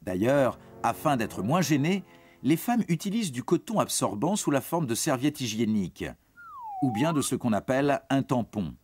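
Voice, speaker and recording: A man's voice: medium pitch, big pitch swings, moderate pace, a little mellow, slight background noise. A man reads scripted educational narration for young teens, explaining things in a reassuring, informal way and addressing the listener directly. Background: music.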